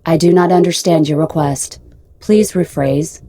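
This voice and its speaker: A woman playing a polite, literal-minded spaceship computer in an audio drama, speaking in a formal, measured, impassive way. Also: deep voice, steady tone, warm tone. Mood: literal, detached. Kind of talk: radio drama dialogue.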